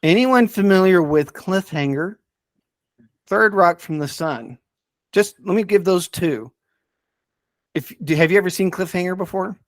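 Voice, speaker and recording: A man hosting a casual podcast, talking enthusiastically but haltingly as he searches for names and details. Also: sits high, expressive, no echo. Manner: enthusiastic about the subject. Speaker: podcast host.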